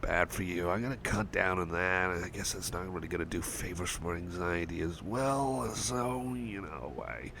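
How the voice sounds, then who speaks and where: A man playing a shy, caring, anxious friend in an intimate ASMR roleplay, speaking softly and hesitantly with stammers and gentle, murmured rambling. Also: sits low, normal speed, creaky, few pauses, noisy background. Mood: sleepy, caring.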